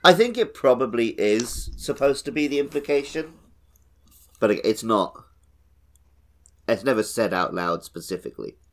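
A man's voice: mid-range voice, lively intonation, normal speed, pauses often, fairly bright tone. A man co-hosting a podcast, talking casually through a video game discussion. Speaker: podcast co-host.

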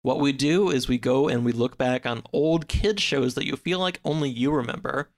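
Casting: male podcast guest, informal, slightly halting delivery, average pitch. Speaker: podcast guest and co-host of another show. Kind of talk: casual podcast chat.

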